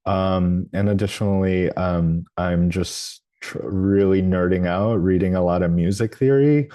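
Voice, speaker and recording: A man's voice: low-pitched, a little slow, warm tone, dry recording, studio quality. A man from New Orleans leading a training workshop over a video call, talking his way through with frequent ums and restarts. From New Orleans, United States. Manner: hesitant, lots of ums and restarts. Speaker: workshop presenter. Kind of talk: live training presentation.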